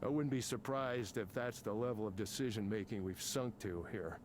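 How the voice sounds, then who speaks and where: A man's voice: fairly low pitch, moderate pace, natural voice. An actor playing an angry, self-important tech programmer in his fifties for a video game, ranting with sarcasm and swearing. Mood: anxious, sardonic. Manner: combative, sarcastic, profane ranting. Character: angry, embittered, self-important fifty-something male tech company programmer. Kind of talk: naturalistic video game voice acting.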